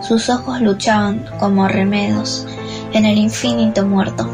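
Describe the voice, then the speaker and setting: Flat-toned teenage girl reciting poetry over music. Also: medium pitch, unhurried. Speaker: student reading poetry. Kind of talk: poetry recitation, read from text.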